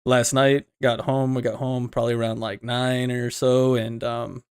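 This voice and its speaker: A man's voice: mid-range voice, little pitch movement, moderate pace, clean tone, dry recording, studio quality. A young man from the United States co-hosting a gaming podcast in a laid-back, chatty way, laughing often. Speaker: podcast co-host. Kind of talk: casual gaming podcast chat.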